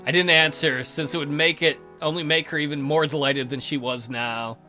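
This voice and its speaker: An awkward teenage schoolboy tells his own story in a visual novel, read by a man. He is hesitant and stammers over his words. The voice is bright, with faint music underneath. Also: on the high side, fairly even intonation, normal speed.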